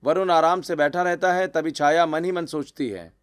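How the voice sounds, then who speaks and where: A man narrating a scripted family story for an animated video. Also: on the high side, moderate pace, clear, resonant tone, dry recording, studio quality.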